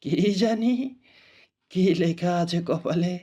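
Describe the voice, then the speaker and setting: A poor bird-hunter father in a cartoon, voiced by a man. He is weary and resigned, grumbling fatalistically in a high male voice. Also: takes it slowly, mellow. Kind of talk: cartoon voice acting.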